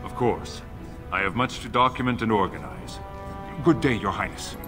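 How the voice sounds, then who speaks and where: An actor playing an earnest, polite dwarf scholar in a fantasy video game, formal and deferential. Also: male voice, on the low side, animated, normal speed, music in the background, lightly acted. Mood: grateful.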